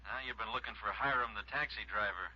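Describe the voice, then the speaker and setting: An American actor playing a grim deputy sheriff on the phone in a 1950s radio drama. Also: male voice, on the low side, quick pace, bright, forceful tone, breathy edge, heavily acted. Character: grim male deputy sheriff phoning in bad news. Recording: dull old radio recording of phone call.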